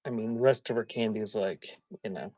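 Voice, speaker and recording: A man chatting casually in a folksy, halting way as he hosts a live sale and shows items. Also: lowish voice, a little mellow, dry recording. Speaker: live auction seller hosting a stream. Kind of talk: casual rambling chat while showing items for sale.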